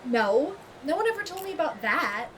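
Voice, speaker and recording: A high-voiced earnest, loyal woman in an audio drama about a self-help group. She speaks assertively, a bit preachy, with natural delivery. Clear ambient noise sits behind her. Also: very animated, moderate pace, a bit bright. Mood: surprised. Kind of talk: naturalistic audio fiction acting.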